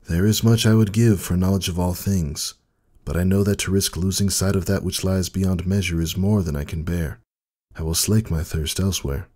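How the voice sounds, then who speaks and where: A man voicing a wise, weary old male pony in a storybook reading, speaking softly in a husky, measured, formal way. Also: low-pitched, pitched above the performer's own voice. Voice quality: husky, soft, dark.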